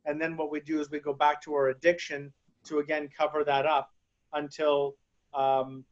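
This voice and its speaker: A man's voice: on the high side, completely flat delivery, normal speed, highs cut off. A man explaining grounding and chakras in a casual, hesitant way, full of fillers and restarts, over a video call. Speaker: guest speaker on grounding and chakras.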